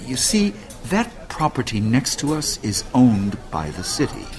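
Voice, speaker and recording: An actor playing an earnest, courteous school leader in an audio drama, formal, measured and gravely persuasive. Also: male voice, medium pitch, huge pitch swings. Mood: grave, urgent. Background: music.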